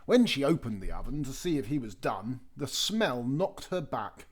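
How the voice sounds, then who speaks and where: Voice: mid-range voice, very animated, moderate pace, breathy edge. A children's storybook reader telling a tale aloud, with chatty asides.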